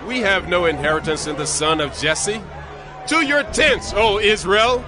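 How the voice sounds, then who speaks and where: An actor playing a defiant man of ancient Israel, calling out forcefully to the people in a dramatized audio Bible. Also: sits high, animated, unhurried, music in the background, a crowd in the background.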